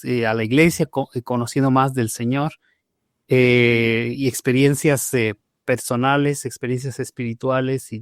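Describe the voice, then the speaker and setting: A man's voice: medium pitch, clean tone, no echo, studio quality. A man from Mexico, a pastor telling his conversion story in a podcast interview, speaking with frequent "eh" fillers and restarts. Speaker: pastor, guest.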